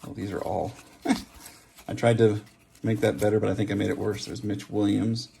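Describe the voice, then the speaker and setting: A man with a lowish voice and heavy vocal fry talks casually as a hobby host. He lists things off and muses aloud in an offhand way. Slight noise and small handling clicks sit behind him. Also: level tone, moderate pace, dark tone. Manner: offhand, reading out names and musing aloud. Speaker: host of a trading card opening channel. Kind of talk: casual running commentary while opening card packs. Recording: background hiss, handling clicks and card shuffling.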